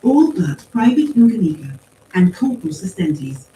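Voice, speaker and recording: A woman voicing a calm, formal machine intelligence in an audiobook story, with precise, measured phrasing. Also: on the low side, voice pushed up. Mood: formal, businesslike. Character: calm, coolly logical machine mind speaking through empty suits. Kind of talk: acted audiobook dialogue. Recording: roomy, distant pickup with faint noise.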